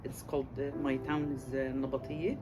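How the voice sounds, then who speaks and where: A man from Lebanon, a native Arabic speaker, speaking with a Lebanese Arabic accent and telling his story haltingly, with many pauses, in a documentary interview. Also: medium pitch, level tone. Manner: hesitant, many pauses and fillers. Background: background music.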